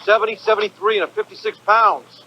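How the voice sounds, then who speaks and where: A brash, swaggering gangster of a rich husband played by a male actor talks in a high voice. He is foul-mouthed and domineering. The film sound is dull, with faint noise. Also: little pitch movement, fast talker, lightly acted. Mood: mocking, cocky. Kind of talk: 1940s gangster-style film acting. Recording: dull, noisy film clip.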